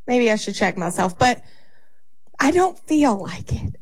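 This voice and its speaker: A woman, co-hosting a morning radio show in a studio, quick and playful in her banter. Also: a little high, big pitch swings, slightly rough. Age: 40s. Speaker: radio co-host.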